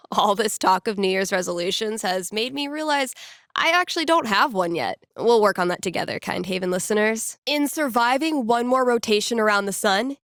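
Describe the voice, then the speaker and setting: A woman acting as a young small-town radio DJ from a video game, with an everyday medium-pitched voice in a very dry sound. She is wry and playful, chatting to her listeners in a conversational, teasing way. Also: fairly bright tone, no echo, lightly acted. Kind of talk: casual local radio patter in a video game.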